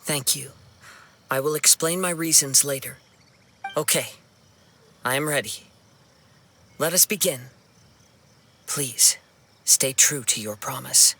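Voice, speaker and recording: An actor playing a gentle, composed young swordsman in a video game, calm and thoughtful, with music behind him. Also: medium pitch, slow, pauses often, slight background noise. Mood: resolute. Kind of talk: scripted game dialogue.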